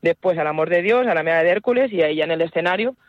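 A woman's voice: fairly low pitch, lively intonation, racing, no echo. Earnest woman on a dull, dry radio line. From Madrid, Spain. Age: 40s. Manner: earnest, hesitant with many fillers and restarts. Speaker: activist spokesperson. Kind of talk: radio interview answers.

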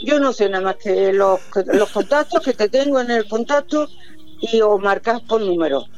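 A woman in her seventies calling in to a radio show, chatting in a rambling, warm-hearted way with devout exclamations. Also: medium pitch, animated, moderate pace, lo-fi sound, soft background music. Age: 70s. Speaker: radio caller. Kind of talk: casual chat on a phone-in.

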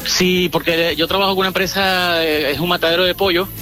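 A man's voice: sits high, fairly even intonation, fairly quick, pushed and bright, soft background music. A middle-aged man from Venezuela calling in by hands-free phone while driving a truck, chatty and hesitant, with many fillers and restarts. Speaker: caller. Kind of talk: casual phone chat.

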